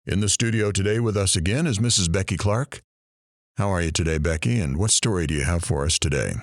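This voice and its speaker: A man's voice: sits low, a little fast, no room sound. A man playing a wry radio news host and interviewer in an audio drama, with a dry sense of humour. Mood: inquiring.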